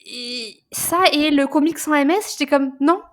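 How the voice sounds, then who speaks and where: A woman, co-hosting a casual book podcast, animated and often repeating words as she searches for them. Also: sits high, measured pace. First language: French. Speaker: podcast co-host.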